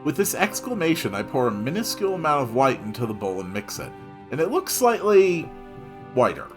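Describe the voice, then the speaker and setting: A man's voice: mid-range voice, big pitch swings, brisk, soft background music. A man reading a story aloud expressively, dropping in wry, casual asides of his own. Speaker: host reading a visual novel aloud.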